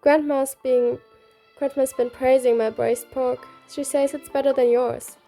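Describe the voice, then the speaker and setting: A woman's voice: high voice, little pitch movement, a little slow, mellow. A woman reading a game story aloud, both the narration and the dialogue. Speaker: reader. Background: faint music.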